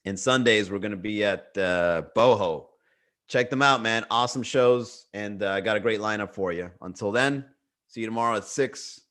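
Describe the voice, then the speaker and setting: A man's voice: lowish voice, normal speed, fairly bright tone. A Miami-accented man riffs on a casual comedy livestream from home. His talk is loose and slangy, full of bro and man, and he banters with chat over a webcam mic. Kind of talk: casual livestream stand-up riff.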